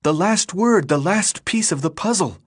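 A man voicing a steady, supportive teenage girl in an audiobook reading. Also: sits high, moderate pace, slightly rough, pitched above the performer's own voice. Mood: relieved.

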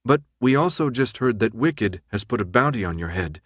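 A man's voice: mid-range voice, expressive, normal speed, a bit bright, no room sound. A tough young woman who takes no nonsense, as a male narrator plays her. She is businesslike and insistent, pressing her case. The audiobook sound is dry and close.

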